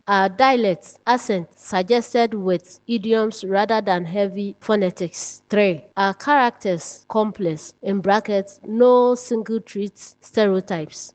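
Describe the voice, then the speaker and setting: A woman's voice: medium pitch, a little mellow. A woman narrating a scripted writing lesson, reading out points step by step like a teacher.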